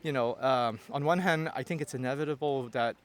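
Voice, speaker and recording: A man's voice: mid-range voice, fairly quick. A man from Hong Kong with a Hong Kong accent, Cantonese his first language, answering interview questions in a thoughtful, hesitant way full of fillers and restarts. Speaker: interview guest. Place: festival interview.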